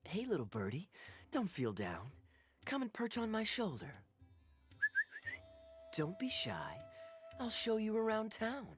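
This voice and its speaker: An actor playing a suave, teasing young knight in a video game, with a smooth, wry, theatrical delivery. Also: male voice, high voice, huge pitch swings, unhurried, long pauses, music in the background, exaggerated voice. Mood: playful, teasing. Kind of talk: video game character dialogue.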